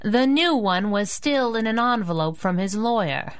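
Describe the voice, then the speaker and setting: An actress playing a plucky, wry young sidekick in a radio superhero drama, snappy and casual. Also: female voice, medium pitch, lively intonation, normal speed, smooth, lightly acted. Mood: wry, brisk. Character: plucky wisecracking young woman sidekick, hero's driver. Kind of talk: 1930s-set radio superhero drama acting.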